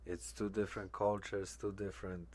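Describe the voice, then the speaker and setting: A man's voice: sits low, moderate pace. A young man from Poland, rambling casually and swearing now and then. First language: Polish. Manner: relaxed, rambling, frequent swearing. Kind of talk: casual chat.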